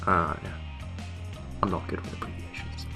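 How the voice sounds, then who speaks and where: A man who is a bit ill reading fan fiction aloud in a deadpan comic way. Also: moderate pace, faint music behind. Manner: deadpan reading, breaking into laughter. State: ill with a headache.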